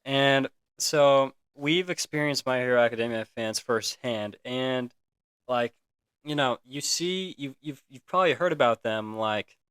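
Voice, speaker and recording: A young man on a casual podcast, halting and filler-heavy. His voice is smooth and clear, recorded dry and close. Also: mid-range voice, a bit bright, no echo. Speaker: podcast co-host. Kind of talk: casual chat.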